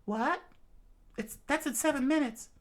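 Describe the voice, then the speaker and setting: A man voicing an eager young woman sergeant who barks urgent, shrill orders. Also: moderate pace, fairly bright tone, smooth.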